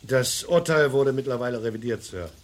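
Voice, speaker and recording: A man's voice: medium pitch, animated, normal speed, natural voice. An actor playing a proud, guarded ex-convict policeman in a German radio crime drama, speaking in a clipped, formal way. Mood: formal, deferential. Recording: vintage radio play with music behind.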